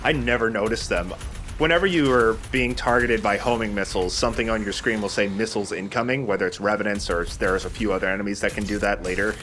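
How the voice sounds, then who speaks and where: Man, casual let's play host, lowish voice, explanatory, opinionated, faint background noise. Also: fairly even intonation, fast, fairly bright tone. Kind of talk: casual gameplay commentary.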